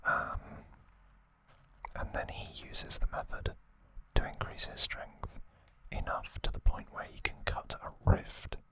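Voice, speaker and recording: An ASMR host speaking in a breathy, unvoiced whisper, giving a soft, rambling, unscripted trivia recap with personal opinions. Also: slow pace.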